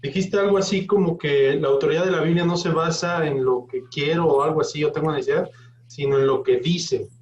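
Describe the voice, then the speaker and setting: A man's voice: fairly high pitch, fairly quick, darkish tone. A man taking part in a class, casually asking a question in a polite, tentative way. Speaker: class participant. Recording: echoey, dull room audio with background noise.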